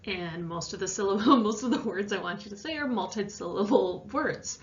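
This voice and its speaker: A woman speaks as a science teacher walking students through an assignment. Her delivery is casual and conversational, with informal asides, at an average pitch. The recording is a little dull and lo-fi. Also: animated, a little fast, a little hiss or hum. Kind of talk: explaining an assignment, informal lesson voice-over.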